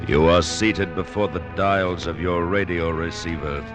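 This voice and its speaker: A man's voice: deep voice, fairly even intonation. A man announcing in a dramatic, portentous 1950s radio narration style. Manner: portentous, deliberate. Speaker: program announcer. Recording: vintage radio broadcast with music.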